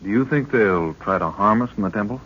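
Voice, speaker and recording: A man's voice: on the low side, brisk, fairly bright tone. A man playing an earnest young expedition member in an old radio serial, questioning and respectful toward his leader. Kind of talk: 1930s-40s radio serial acting. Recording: muffled old radio recording.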